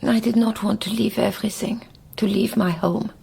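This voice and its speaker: A woman's voice: medium pitch, fairly even intonation, normal speed, creaky, dark tone, slightly rough, full character voice. An actress playing a reserved German woman, speaking tersely and carefully in a radio drama. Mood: wistful, guarded. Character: guarded, reserved German cellist recalling her parents. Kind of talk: naturalistic radio drama acting.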